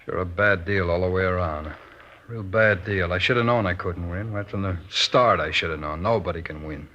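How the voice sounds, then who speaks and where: An actor playing a pushy, bitter small-time thief in an old-time radio crime drama, insistent in manner. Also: male voice, sits low, pushed and bright. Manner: insistent, hurried. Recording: dull vintage radio recording.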